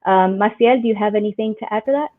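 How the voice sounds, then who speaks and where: A woman's voice: medium pitch, quick pace. A woman from Puerto Rico moderating an online panel discussion and asking guests follow-up questions. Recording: tinny phone-like audio.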